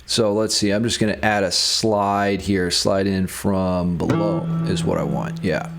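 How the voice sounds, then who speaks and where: A man's voice: deep voice, fairly even intonation, unhurried, gravelly. A man giving a casual guitar theory tutorial, thinking aloud and enthusiastic about the material as he explains it. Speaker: guitar music theory teacher.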